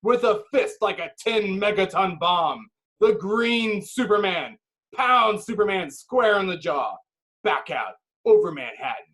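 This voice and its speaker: Higher-voiced man narrating dramatically. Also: lively intonation, a bit bright. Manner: emphatic, clipped phrases with dramatic pauses. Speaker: narrator reading stage directions.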